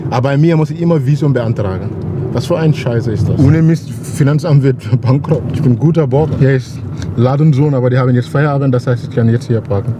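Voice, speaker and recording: A man's voice: medium pitch, fairly quick. A Gambian man with a West African accent in non-native German, chatting in a jokey, boastful, rambling way as he hosts a vlog. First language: not German. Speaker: vlog host, bodybuilder. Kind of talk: casual, rambling vlog chat. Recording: noisy car and street ambience.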